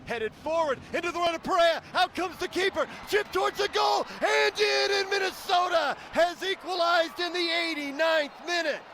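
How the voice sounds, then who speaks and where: A male play-by-play soccer commentator with a very high, excited, projected voice. His tone is bright and cutting, delivered as live match commentary with some noise behind it. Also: moderate pace, few pauses. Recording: noisy stadium broadcast clip.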